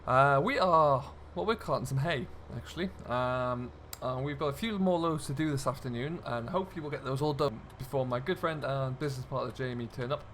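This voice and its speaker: A man's voice: mid-range voice, a little fast, hardly any pauses, a bit bright. A British man hosting a farming game role-play in casual commentary, chatting and thinking aloud as he works. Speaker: gaming video host playing a farm contractor. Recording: clear background vehicle and ambient noise.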